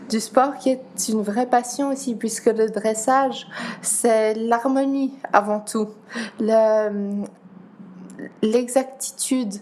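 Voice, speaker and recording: A young woman from Geneva whose first language is Dutch, answering interview questions slowly, with pauses and repeated words. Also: a little high, darkish tone. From Geneva, Switzerland. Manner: slow, halting, many pauses and repeated words. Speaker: guest, para-athlete.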